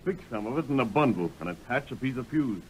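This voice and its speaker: An actor playing a steady, commanding masked cowboy hero with an American accent, in an old radio western. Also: male voice, medium pitch, close to an everyday voice. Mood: instructive. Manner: firm, deliberate, authoritative. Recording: noisy old radio recording.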